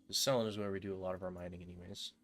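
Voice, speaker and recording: A man with a lowish voice and a stuffed-up nose talks to his live viewers in a loose, easygoing way. He leans on "uh" and "right?" as he plays. A faint hiss sits under his voice. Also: racing. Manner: relaxed, chatty, lots of fillers and "right?". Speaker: gaming streamer. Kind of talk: casual live-stream chat while playing.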